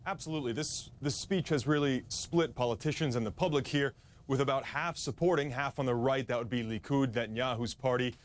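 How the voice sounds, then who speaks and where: A man's voice: mid-range voice, fairly quick. A man giving a live news report as a correspondent.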